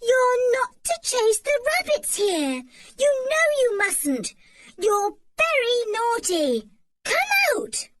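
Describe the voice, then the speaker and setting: A woman reading a storybook aloud, voicing a bold young tomboy girl who shouts at her dog and frets when he goes missing. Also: very animated, slow pace, noisy background, heavily acted. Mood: scolding. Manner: high and emphatic, close to shouting.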